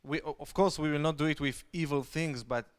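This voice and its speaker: A man's voice: fairly high pitch, steady tone, a little fast, clean tone. A man talking emphatically on a casual livestream, repeating phrases for stress.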